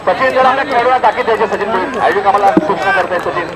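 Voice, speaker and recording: A man's voice: high voice, racing. A man giving live, informal cricket commentary at a village tournament, often repeating himself and addressing those around him. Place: outdoor cricket ground. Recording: noisy outdoor event with loud crowd. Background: crowd and outdoor ambience noise.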